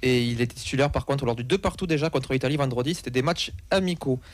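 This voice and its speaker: A man on a football talk show who briskly reads out results and slips in wry remarks. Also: medium pitch. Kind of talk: radio sports talk.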